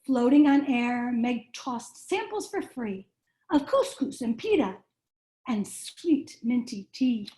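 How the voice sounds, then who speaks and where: High-pitched woman reading a slow bedtime story. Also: big pitch swings, mellow, poor audio. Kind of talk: storybook read-aloud for children.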